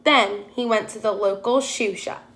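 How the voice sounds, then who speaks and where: A woman's voice: mid-range voice, steady tone, normal speed, a bit bright, a little hiss or hum. A woman teacher reading a children's storybook aloud expressively, putting questions to her young listeners.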